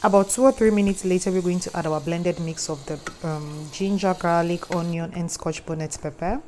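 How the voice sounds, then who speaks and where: Friendly Ghanaian woman with a mellow voice. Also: fairly low pitch, fairly even intonation, moderate pace, slight background noise. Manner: friendly, instructive, chatty asides. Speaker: cooking channel host. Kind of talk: cooking tutorial voice-over.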